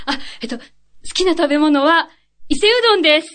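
High-voiced, giggly young woman. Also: expressive, moderate pace, fairly bright tone. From Yokohama, Japan. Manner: giggly, polite, bubbly. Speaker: guest, trainee voice actor. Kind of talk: casual chat.

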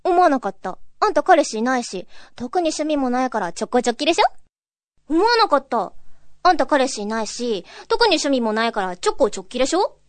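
A woman's voice: high voice, very animated, brisk. A young woman voice-acting trainee rehearsing drama lines, repeating them with a giggly, puzzled manner. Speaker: aspiring voice actress rehearsing lines.

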